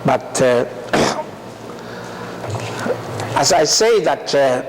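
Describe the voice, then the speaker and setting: An older man gives a university lecture in a deliberate way, often asking the class rhetorical questions. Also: medium pitch, sing-song, noisy background. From Taiwan.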